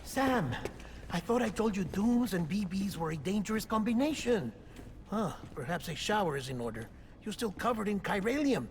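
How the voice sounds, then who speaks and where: A man playing a composed, condescending official in a video game cutscene, with a polite and measured manner. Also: sits high, very animated. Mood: cool, chiding. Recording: clear background ambient noise.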